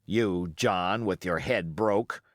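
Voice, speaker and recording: A man's voice: sits low, slow, no echo, studio quality. A man voicing a cunning, scornful pirate ringleader in an audiobook, taunting and emphatic with rhetorical questions. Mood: taunting, triumphant. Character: Long John Silver, scornful cunning male pirate ringleader.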